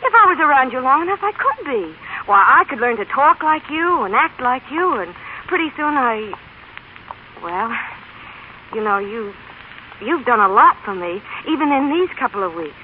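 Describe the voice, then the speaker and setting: An actress in an old radio drama playing an eager, unsure small-town girl in love, speaking earnestly and haltingly and correcting herself. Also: sits high, very animated. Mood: shy, eager. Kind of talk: 1930s radio drama acting. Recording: old radio broadcast, tinny, noisy.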